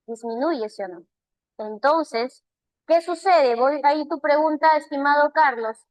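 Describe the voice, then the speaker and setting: A woman with a Peruvian Spanish accent leads a live online lesson, her voice high and bright. She speaks warmly, keeps asking "right?" to check understanding and praises students by name, and the sound is close and dry with no room echo. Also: a little slow. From Peru. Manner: asks many rhetorical check questions. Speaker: language teacher. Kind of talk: live online class, answering student chat questions.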